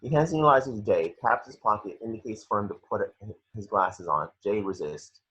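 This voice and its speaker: Low, husky male script narrator, dull audio. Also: a little fast, pushed and bright. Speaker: stage direction narrator. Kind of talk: scripted action narration in a table read. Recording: dull lo-fi home recording.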